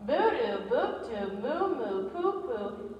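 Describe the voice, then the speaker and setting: Animated, high-voiced jittery school lady acted by a woman. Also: takes it slowly. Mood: excited, playful. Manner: animated, exclamatory. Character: Missus Bell, jittery, excitable school staffer. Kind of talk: readers theater performance. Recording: muffled, distant, echoey hall.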